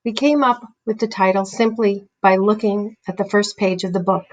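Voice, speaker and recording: A woman's voice: mid-range voice, fairly even intonation, normal speed, lo-fi sound, slight background noise. A young woman, a student playwright, giving a scripted presentation about a project. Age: young adult.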